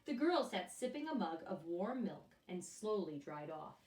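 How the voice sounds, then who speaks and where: A woman's voice: fairly low pitch, big pitch swings, moderate pace, a little mellow. A woman reading a picture book aloud to young children, expressively, often turning to them with questions and asides. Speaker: children's storyteller and teacher. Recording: echoey room, distant microphone.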